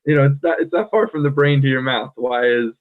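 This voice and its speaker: A man from the United States co-hosting a casual chat, thoughtful and pausing often with "um". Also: medium pitch. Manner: thoughtful, many "um" fillers. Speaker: podcast co-host. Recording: tinny phone-like line.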